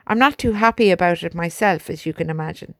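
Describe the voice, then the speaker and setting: A woman reading aloud, voicing a reluctant male army major who delivers bad orders slowly. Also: on the low side, no echo, clean studio sound, close to an everyday voice. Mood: resigned. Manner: slow, measured, subdued.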